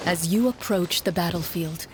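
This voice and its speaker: A woman's voice: fairly low pitch, normal speed, natural voice. Woman playing dramatic game master over ambient noise. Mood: grave. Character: female tabletop game master narrator, composed and dramatic. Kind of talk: dramatic scripted storytelling narration.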